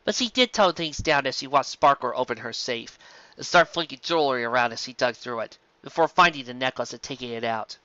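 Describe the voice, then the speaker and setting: A female narrator reads a story with expressive delivery. Her voice is bright and very varied in pitch. The sound is dry and close. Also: brisk, no room sound, lo-fi sound.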